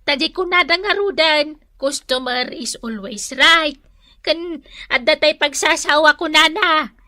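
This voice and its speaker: A woman's voice: high voice, measured pace, sharp tone, no room sound, clean studio sound. A woman acting a preachy older boss lady in a radio drama, with a Filipino accent.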